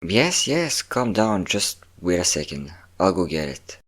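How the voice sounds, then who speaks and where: Man voicing a deep, possessive vampire lover. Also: big pitch swings, a little slow, a bit bright, heavily acted. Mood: fond, teasing. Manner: teasing, commanding, breathy whispers at times. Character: possessive yandere male vampire, teasing, domineering lover. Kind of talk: intimate audio roleplay addressed to the listener.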